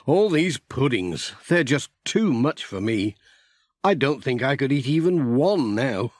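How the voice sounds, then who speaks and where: A man's voice: mid-range voice, sing-song, unhurried, no echo, studio quality. A man voicing a kindly, polite village postman who is easily flustered, in a children's storybook. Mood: weary.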